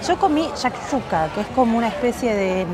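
A young adult woman with an Argentine Spanish accent, lively and casual, rating and recommending food as a travel vlogger. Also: a little high, brisk. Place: outdoors on city streets and cafés. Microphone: handheld selfie camera. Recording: loud crowd and street noise.

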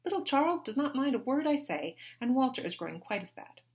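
A woman's voice: high-pitched, very animated, a little fast, pitched above the performer's own voice. A woman putting on a whiny, self-pitying young lady. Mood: aggrieved. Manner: whining, complaining, loud.

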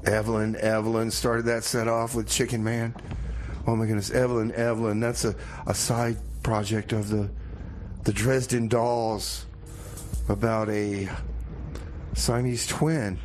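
A man playing an excitable radio host in comedic banter, casual and halting, repeating himself as he goes. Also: medium pitch, slight background noise, close to an everyday voice. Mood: casual, amused. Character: excitable male radio host, worried partner in shenanigans.

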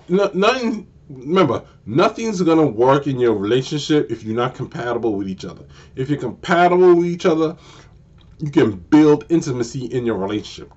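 A man's voice: mid-range voice, a little mellow, dull audio. Man in his forties giving repetitive advice. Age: 40s. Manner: repetitive, emphatic, slowing down to stress points. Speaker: vlogger. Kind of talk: casual unscripted advice monologue. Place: small bedroom, indoors. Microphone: built-in camera mic at desk distance.

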